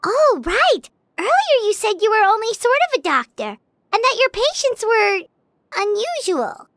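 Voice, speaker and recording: A woman voicing a small, excitable fairy companion in a video game, asking eager questions. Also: very high voice, no echo, full character voice. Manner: eager, exclamatory, quick questions.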